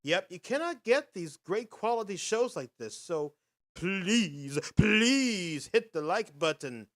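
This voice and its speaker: A man with a high, bright voice hosts a casual vlog, narrating footage in a rambling, upbeat announcer patter. His pitch swings widely as he talks, and his tone stays sharp and forward. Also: very animated, takes it slowly. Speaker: host.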